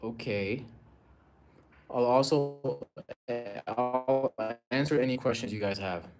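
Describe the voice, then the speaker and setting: A young man from Rwanda chatting casually on a phone livestream at home, showing his art and answering viewer comments in a relaxed, rambling way. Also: medium pitch, slow, loud music behind the voice. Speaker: artist livestreaming. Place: indoors at home among his paintings. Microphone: phone camera, moving around.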